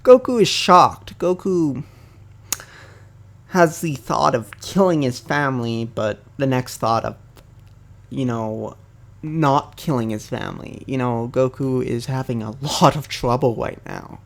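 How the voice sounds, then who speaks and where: Slow, rambling young man. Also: mid-range voice, vocal fry. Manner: rambling, many fillers and restarts. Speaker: YouTuber. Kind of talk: casual unscripted fan theory talk.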